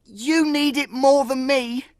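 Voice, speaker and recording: Sad scared little fairy tale boy, way up high, slow n pleading. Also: fairly even intonation, dry recording, studio quality. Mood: sad, fearful.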